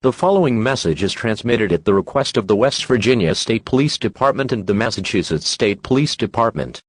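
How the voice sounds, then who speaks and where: A man's voice: on the low side, animated, a little fast, a little hiss or hum. A synthetic-sounding man reading a scripted official emergency message as an announcer.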